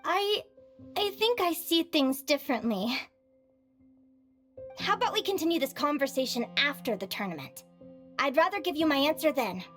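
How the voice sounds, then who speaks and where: A woman acting as an upbeat, self-assured young advisor in a video game, speaking in a friendly, expressive, conversational way. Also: high voice, moderate pace, pauses often, soft background music. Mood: reassuring.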